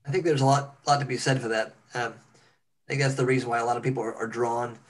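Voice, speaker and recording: A man's voice: medium pitch, fairly even intonation, quick pace, rough recording. A young man, an educator, talking casually to a group over a video call. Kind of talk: casual panel talk. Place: indoor room on a video call.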